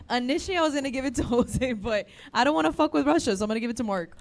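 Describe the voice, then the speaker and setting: A woman's voice: high-pitched, animated, fast talker. Woman on the panel, casual, giggly and playful. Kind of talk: casual chat.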